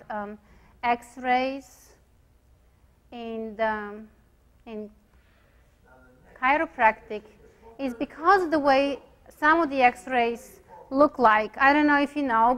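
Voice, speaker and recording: A woman with a Bulgarian accent giving an informal lecture to students as a chiropractic radiologist, pausing often with fillers and restarts. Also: a little high, a bit bright. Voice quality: hesitant, many fillers and restarts. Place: lecture room.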